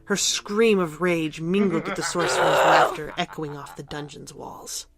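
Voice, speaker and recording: A woman's voice: low voice, normal speed, vocal fry, crowd noise. A woman narrator reading scripted fiction for an audio drama.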